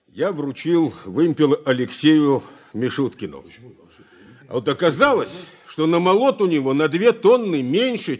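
An actor playing an earnest young party secretary in a 1980s radio drama. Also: male voice, fairly high pitch.

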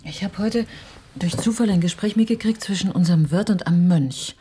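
Earnest, troubled woman, lowish voice. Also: a little fast, dark tone, breathy edge. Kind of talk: naturalistic radio drama acting.

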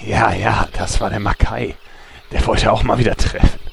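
A performer voicing a gruff, sneaky male rogue in a comedic fan audio drama, speaking laconically in a deep gravelly voice. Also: steady tone, normal speed, slight background noise. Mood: laconic.

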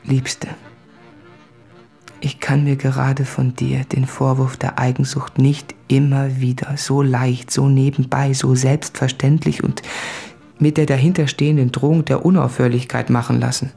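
An actor playing a guilt-ridden male writer in a radio play, confessing in an intense, introspective, articulate monologue with a husky voice. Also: mid-range voice, flat delivery, measured pace, mellow, faint music behind. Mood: anxious, pleading. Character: anguished, guilt-ridden male writer addressing his fiancée. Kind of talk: literary radio play monologue from letters and diaries.

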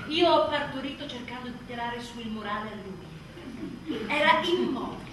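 A woman's voice: on the high side, expressive, normal speed, natural voice. An actress playing an exhausted, sardonic new mother in a theatrical radio monologue, emphatic and full of sarcasm. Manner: emphatic, sarcastic, mimicking others mockingly. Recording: echoey room, distant mic, faint noise.

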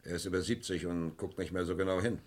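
An actor playing a matter-of-fact, quietly sharp detective assistant in a crime radio play, dry and understated. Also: male voice, deep voice, steady tone, fast talker. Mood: dry, factual.

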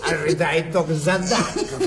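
Man acting a high-voiced, wheedling provincial clerk. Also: fairly even intonation, moderate pace, loud music behind the voice, close to an everyday voice. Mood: gleeful. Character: wheedling, self-satisfied, bribe-taking provincial Russian clerk. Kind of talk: theatrical classic comedy radio play acting.

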